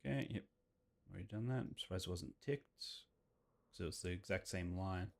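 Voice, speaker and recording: A man's voice: deep voice, normal speed, gravelly. A man casually commentating on a game as he plays, thinking aloud and reading on-screen text. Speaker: game streamer. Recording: game music behind the voice.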